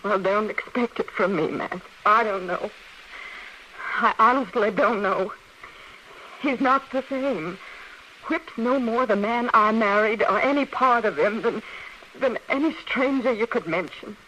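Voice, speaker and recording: A woman playing a frightened, evasive frontier wife with a halting, nervous delivery in an old radio western drama. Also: fairly high pitch, bright, forceful tone. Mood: distressed. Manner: halting, nervous, breaking off and repeating. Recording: noisy vintage radio recording.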